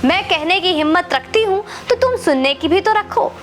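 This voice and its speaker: A woman's voice: very high voice, animated, normal speed. An Indian woman delivering an opinionated political monologue to the viewer, combative and lecturing, mixing in English words. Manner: combative, lecturing, rhetorical questions; mixes English words and phrases into the talk. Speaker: political commentator.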